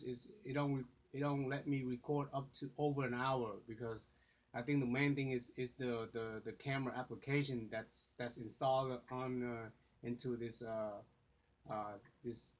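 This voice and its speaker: Man rambling to a phone camera. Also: mid-range voice. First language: Vietnamese. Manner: rambling, many fillers and restarts. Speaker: vlogger. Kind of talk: casual talk to camera. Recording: dull lo-fi phone audio.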